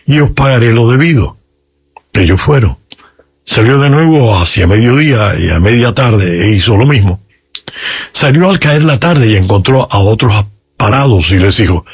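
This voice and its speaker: Guy priest talking through the gospel, husky voice, medium pitch, asks listeners stuff. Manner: explanatory, puts questions to listeners. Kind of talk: conversational religious talk with scripture reading.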